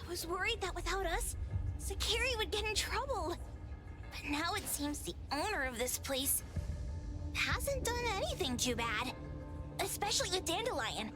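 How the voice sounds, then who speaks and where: A woman's voice: extremely high pitch, sing-song, normal speed. A woman playing a brash, hot-headed young girl fighter in an anime-style game. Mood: relieved. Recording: loud music under the voice.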